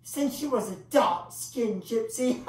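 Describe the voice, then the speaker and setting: A woman performing a dramatic monologue as a grandiloquent old English male clown, pausing for effect as he tells his bittersweet tale. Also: high-pitched, exaggerated voice. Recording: echoey room, distant microphone.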